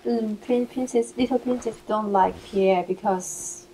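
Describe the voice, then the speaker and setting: A woman's voice: mid-range voice, fairly even intonation, unhurried, warm tone, slight background noise, poor audio. A Chinese-speaking woman practicing English in a lesson, hesitant with broken grammar. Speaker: English learner. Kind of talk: casual role-play lesson.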